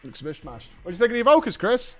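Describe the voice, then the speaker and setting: A British man with a fairly high, very lively voice reacts casually as a gaming host. He thinks out loud in blunt, colloquial phrases. Music plays behind him. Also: rapid-fire. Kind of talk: casual live reaction commentary.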